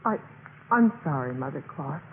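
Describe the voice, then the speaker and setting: An actress playing an earnest, principled young sorority pledge in a 1950s radio drama, speaking emphatically. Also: female voice, low-pitched, lively intonation, moderate pace. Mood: resolute, earnest. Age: young adult. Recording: muffled, noisy 1950s radio recording, reverberant.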